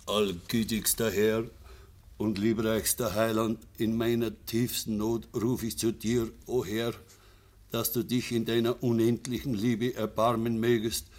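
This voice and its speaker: An actor playing a bitter, gruff and short-tempered Bavarian peasant son in a German radio play. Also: male voice, fairly low pitch, level tone, measured pace, a little mellow, lightly acted. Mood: solemn reading. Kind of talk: German radio drama acting.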